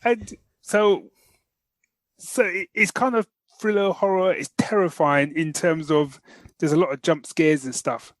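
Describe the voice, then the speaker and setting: A man co-hosting a podcast, telling stories in an animated, slangy, casual review style. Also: a little high, measured pace, dry recording. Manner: animated storytelling with slangy asides. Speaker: podcast co-host. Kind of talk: casual chat, film review.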